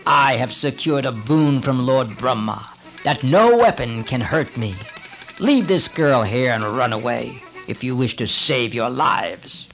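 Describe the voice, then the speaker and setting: A man's voice: mid-range voice, a little slow, a bit bright, soft background music, poor audio. A man voicing a huge, bloodthirsty male demon who taunts his foes in a menacing way. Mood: scornful.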